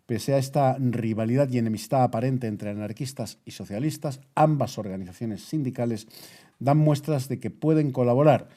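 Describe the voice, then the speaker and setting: A man presents the news with an accent from Spain. His voice is dark and relaxed, sitting at a medium pitch. He speaks as a bulletin presenter. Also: normal speed.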